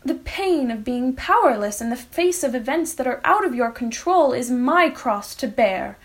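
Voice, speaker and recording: A woman's voice: high-pitched, lively intonation, normal speed. A woman reading a comic monologue aloud with a mock-grand, formal manner. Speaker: writer reading own comic piece.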